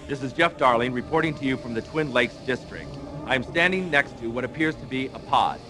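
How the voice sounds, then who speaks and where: A smooth, reassuring male news anchor, played by an actor in a video game cutscene. He speaks in an emphatic announcer style with animated, mid-range pitch, over clearly audible music. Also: fairly quick, close to an everyday voice. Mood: reporting. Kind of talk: broadcast news parody.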